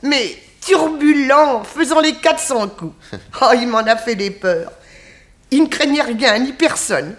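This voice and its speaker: An actress in a 1960s French radio detective drama playing a kindly, talkative old former nanny, with a homely, fondly reminiscing manner. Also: female voice, high-pitched, expressive, slow pace. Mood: fond, wistful. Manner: homely, reminiscing, sighing exclamations.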